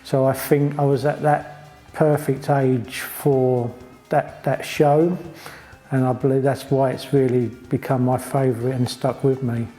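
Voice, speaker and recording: A man's voice: medium pitch, little pitch movement, a little slow, warm tone, faint music behind. A man in his fifties explaining a costume collection in an interview. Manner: plain, explanatory. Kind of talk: casual interview.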